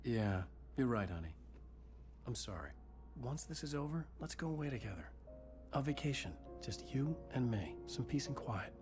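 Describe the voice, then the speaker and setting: A man's voice: medium pitch, animated, normal speed, music in the background, natural voice. An actor playing a troubled writer in his thirties, intense and terse, in a dramatic video game. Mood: weary, regretful. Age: 30s. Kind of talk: dramatic game acting and first-person narration.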